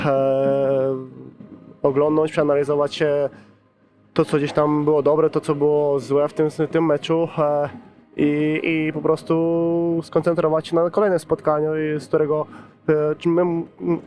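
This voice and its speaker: A footballer in his thirties answers post-match questions, pausing and restarting often. His voice sits a little high and stays level. He speaks into a handheld mic outdoors. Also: darkish tone. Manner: hesitant, many fillers and restarts. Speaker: football player. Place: pitchside interview backdrop at a stadium, outdoors. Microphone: handheld reporter's mic.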